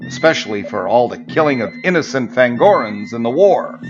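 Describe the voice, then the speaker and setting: A man's voice: medium pitch, very animated, brisk, natural voice. A man playing a stiff, disdainful alien magistrate with old grudges in a sci-fi audio drama. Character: haughty, bitter alien male magistrate, prejudiced and grieving. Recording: dull audio with music behind.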